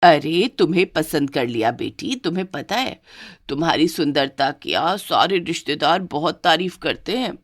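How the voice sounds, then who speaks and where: A woman voicing a doting but bossy older mother-in-law in a cartoon story, speaking lower and slower. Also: lively intonation. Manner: lower, slower, scolding toward one and sweet toward the other.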